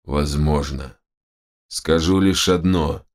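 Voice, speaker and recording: A man voicing a solemn, measured chief shaman in a fantasy audiobook. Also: extremely low pitch, steady tone, pitched below the performer's own voice. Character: grave male chief shaman, solemn, awed.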